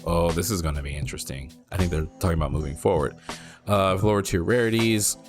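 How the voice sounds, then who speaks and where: A man who is not a native English speaker, casually thinking aloud while he reads and reacts to a card game article, rereading lines and breaking into excited exclamations. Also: low voice, quick pace, music in the background. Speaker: card game content creator.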